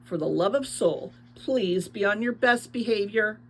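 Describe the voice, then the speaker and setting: A woman's voice: medium pitch, expressive, unhurried, a little hiss or hum. Woman acting a guardian priest, storybook reading, stern, wary, stiff, warning tone.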